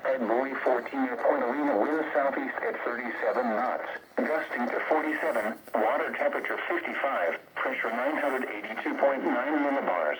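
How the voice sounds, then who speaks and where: A computer-voiced man reading automated weather observations in a steady, list-like way. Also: mid-range voice, normal speed. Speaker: weather radio announcer. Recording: noisy radio reception.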